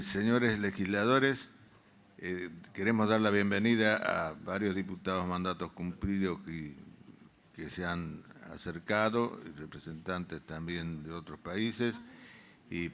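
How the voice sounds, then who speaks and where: A man's voice: sits low, gravelly, a bit bright. A man moderating a panel, giving formal, ceremonious introductions.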